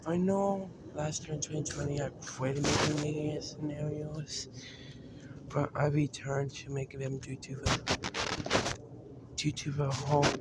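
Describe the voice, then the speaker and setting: Clear background noise sits behind a man talking off the cuff like a vlogger. His voice is a little high for a man and stays very flat, as he haltingly restarts and repeats his phrases. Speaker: video creator announcing a decision to fans. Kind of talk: unscripted vlog-style talk.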